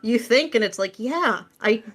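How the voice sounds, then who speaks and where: A woman's voice: fairly high pitch, lively intonation, a little slow. A woman talking casually as an interview guest, telling things through little anecdotes in a joking, easily amused way. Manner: anecdotal, jokey, often laughing as talking. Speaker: interview guest, script writer. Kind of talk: casual chat.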